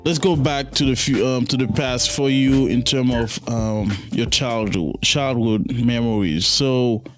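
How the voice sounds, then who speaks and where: A man from Haiti hosting a podcast interview through a headset, asking his questions in a halting manner full of restarts and "um"s. His voice sits at a middling pitch, with faint music playing behind him. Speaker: podcast host.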